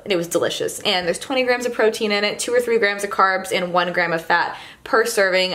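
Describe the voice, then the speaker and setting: A woman's voice: medium pitch, fairly bright tone. A young woman in her twenties, a fitness vlogger, chatting casually to the camera at home, upbeat and full of "like" and "um". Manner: chatty and upbeat, with frequent "like" and "um" fillers. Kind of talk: casual vlog talk to camera. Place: home living room, then a gym. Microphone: camera held or set close to her face.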